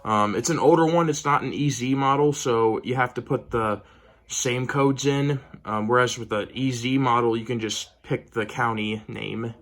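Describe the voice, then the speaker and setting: A man with an American accent and a mid-range voice talks through a hobby collection for a video. His delivery is casual and low-key, a bit hesitant, with phrases that trail off. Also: moderate pace, a bit bright. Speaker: hobbyist vlogger showing a collection. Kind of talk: casual show-and-tell.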